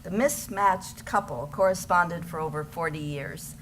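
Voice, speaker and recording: Lower-voiced woman narrating, noisy live recording. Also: unhurried. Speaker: narrator in an amateur readers theater. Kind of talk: scripted narration to an audience. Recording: live recording with clear background noise.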